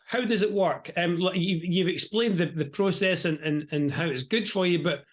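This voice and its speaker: A man born in Europe hosts a relaxed interview over a video call. His voice sits high for a man, and he talks in a loose, chatty way, doubling back and repeating words. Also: normal speed. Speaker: interview host. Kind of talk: casual virtual interview.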